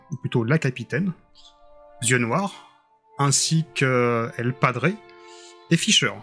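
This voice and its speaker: A man runs a tabletop role-playing session. He sets the scene in an easy, conversational tone while faint music plays behind him. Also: medium pitch. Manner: relaxed scene-setting narration. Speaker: game master.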